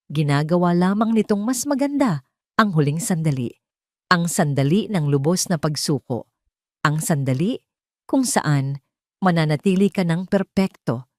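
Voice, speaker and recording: A woman voicing a calm, deranged male kidnapper in a storybook, sweetly menacing and deliberate, speaking with obsessive conviction. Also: on the low side, dry recording, clean studio sound. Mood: sweetly sinister. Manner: slow, deliberate, full of conviction.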